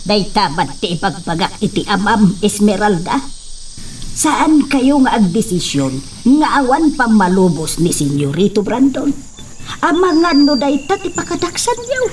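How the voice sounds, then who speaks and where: A woman's voice: mid-range voice, big pitch swings, measured pace, darkish tone. A woman playing an anxious, lamenting middle-aged peasant mother in an audio soap drama. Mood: worried caution. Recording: distant mic, faint background noise.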